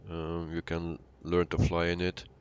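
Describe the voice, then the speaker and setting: A man with a Belgian accent giving casual, halting, unscripted commentary as he live streams a flight simulator. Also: low-pitched, monotone, smooth, muffled recording. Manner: halting, unscripted, answering chat. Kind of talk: casual livestream commentary while playing.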